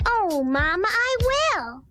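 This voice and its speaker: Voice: extremely high pitch, sing-song, takes it slowly, pushed and bright, heavily acted. A performer voicing a sweet, trusting, obedient little girl in a children's musical story, with a cute, earnest manner.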